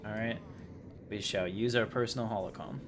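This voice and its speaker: A man with a fairly low voice gives casual commentary on a game livestream. He talks informally to his viewers while he plays. There is clearly audible noise behind him. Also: fairly even intonation, normal speed.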